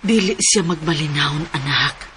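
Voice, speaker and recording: Worried cebuano mom warning her son, low voice, radio drama, noisy background. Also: moderate pace, a bit bright. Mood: grave, worried. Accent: Filipino, Cebuano. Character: worried, cautioning mother of a grown son. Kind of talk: Philippine radio drama acting.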